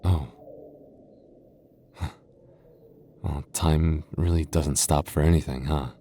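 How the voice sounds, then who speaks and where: A young goth boyfriend, played by a man, talks close and soft in an ASMR roleplay. His voice sits low and warm, and he teases his partner fondly. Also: fairly even intonation, slow, heavily acted. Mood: affectionate. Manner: soft, intimate, playfully teasing. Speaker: voice actor.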